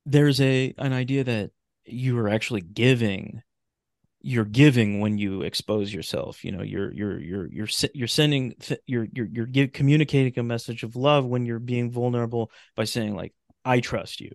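A man in a casual podcast conversation, talking in a halting, rambling way and often restarting his phrases. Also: mid-range voice, creaky, dry recording, clean studio sound.

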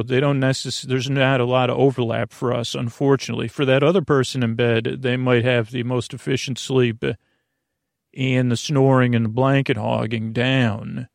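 A man hosting a bedtime podcast in a rambling, halting monologue, trailing off, digressing and circling back to his point. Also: medium pitch, gravelly, no echo, clean studio sound. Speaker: podcast host.

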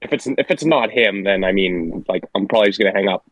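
A man's voice: on the low side, fast, a little hiss or hum, low-quality recording. Congested man hosting a casual podcast. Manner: laid-back, jokey. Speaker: podcast host. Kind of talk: casual chat.

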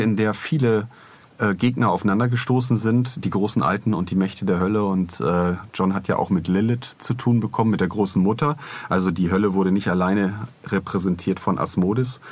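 A man's voice: low voice, normal speed. A man co-hosting a podcast in casual, joking conversation. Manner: joking, bantering. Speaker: podcast co-host.

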